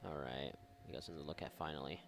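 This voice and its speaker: Low, creaky-voiced man mocking a video game. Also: fairly even intonation, very fast, noisy background. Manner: sarcastic, mocking, profane. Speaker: gaming video host. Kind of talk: casual let's play commentary.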